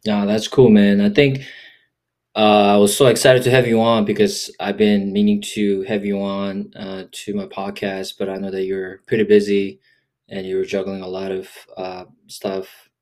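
Low, even-toned male podcast host. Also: normal speed. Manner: friendly, hesitant with many "uh"s. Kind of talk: casual conversational interview.

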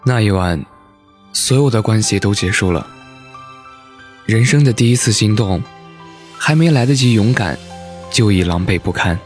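A man's voice: lowish voice, lively intonation, normal speed, pauses often, soft background music, lightly acted. A man playing a young man who narrates his school memories in an audio drama, reflective and melancholy. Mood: wistful. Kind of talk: scripted first-person drama narration.

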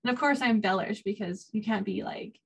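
A woman's voice: mid-range voice, fairly quick, gravelly, breathy edge. A woman chatting informally as an author guest in an interview, given to small laughs between phrases. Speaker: guest, self-published author. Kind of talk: casual interview chat.

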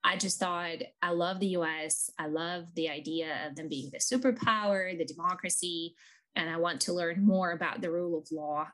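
A woman's voice: on the low side, moderate pace, fairly bright tone, rough recording. A woman from Ukraine with a Ukrainian accent, a lawyer telling her story in a conversational interview, thoughtful with frequent ums.

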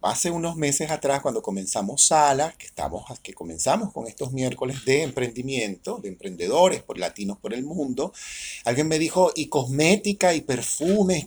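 Male talk show host, mid-pitched, effusive and upbeat, drives the interview. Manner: enthusiastic, effusive.

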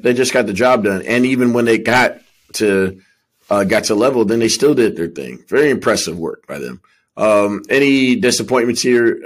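Deep, husky-voiced man talking soccer. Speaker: sports podcast co-host. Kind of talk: casual soccer discussion.

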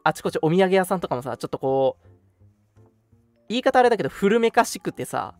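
A young man hosting a radio show, chatting casually and reminiscing in an animated storytelling way. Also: high-pitched, fast talker, no room sound, soft background music. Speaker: radio talk show host.

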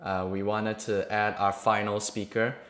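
A podcast co-host speaking in a soft, hesitant way with fillers. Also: deep voice, little pitch movement, measured pace. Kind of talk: casual podcast chat.

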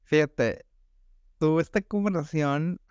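A man's voice: on the high side, slow pace, a little mellow, clean tone, no echo, dull audio. A young man with a Monterrey accent, co-hosting a casual podcast chat in rambling slang. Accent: northern Mexican (Monterrey). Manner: slangy, rambling, says "güey" constantly. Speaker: podcast co-host.

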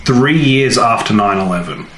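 Male co-host, medium pitch, informal back-and-forth delivery, echoey room sound with light background noise. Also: lively intonation, normal speed, a bit bright. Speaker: podcast co-host. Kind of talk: casual chat, reacting to co-host.

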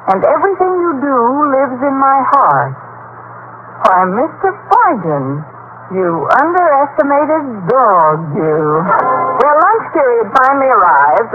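A woman playing a wry, wisecracking high school teacher with dry delivery in old-time radio comedy. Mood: surprised, pleased. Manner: dry, sardonic. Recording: dull, faintly noisy old radio recording.

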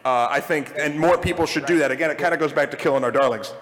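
A man's voice: fairly high pitch, fast, fairly bright tone. A man hosting a live podcast panel, chatting casually and fielding audience questions. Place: large convention panel room with audience. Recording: echoey convention hall, live panel.

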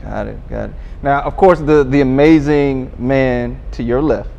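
A man's voice: medium pitch, unhurried, vocal fry, dark tone, muffled recording. A man interviewing guests and encouraging them with brief affirmations. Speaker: interviewer host.

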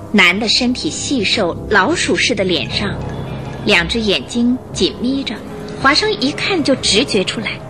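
A woman narrates a radio drama in a medium-pitched voice. Her delivery is scripted storytelling, with light music playing underneath. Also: fairly bright tone. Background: background music.

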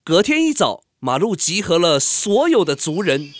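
High-pitched, animated Taiwanese Mandarin male narrator. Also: normal speed, slight background noise. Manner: theatrical, expressive storytelling. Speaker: radio drama host and narrator. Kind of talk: dramatic scripted narration.

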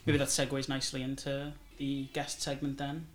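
A man's voice: medium pitch, monotone, normal speed, slight background noise. A man with a Welsh accent co-hosting a podcast, chatting casually with teasing asides. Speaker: podcast co-host.

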